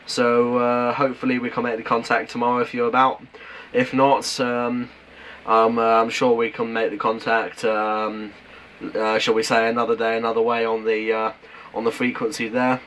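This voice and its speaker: A British man with a mid-pitched voice talks as an amateur CB radio operator. He is matey and a little halting, full of radio jargon, with some noise behind him. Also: monotone, fairly bright tone. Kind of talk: casual two-way radio chat. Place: at home beside a CB radio set. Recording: noisy room with radio hiss.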